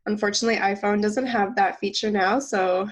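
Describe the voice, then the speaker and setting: A woman's voice: medium pitch, fairly even intonation, a little fast. A woman with a congested cold voice co-hosting a nutrition podcast in casual chat, laughing often and using lots of fillers. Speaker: podcast co-host.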